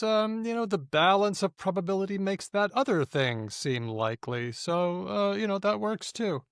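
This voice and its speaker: Upbeat man co-host with a slightly high voice. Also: no echo, clean studio sound. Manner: enthusiastic, laughs often, swoops up in pitch. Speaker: podcast co-host. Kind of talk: casual chat.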